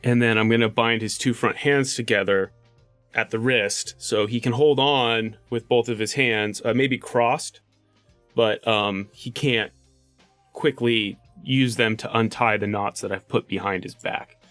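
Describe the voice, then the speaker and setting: A man talks through his moves in a tabletop roleplaying game. He is plain and explanatory, with a middle-pitched voice. The sound is dry, with light music in the background. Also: steady tone, a little slow, a bit bright, dry recording. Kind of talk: casual game-table talk.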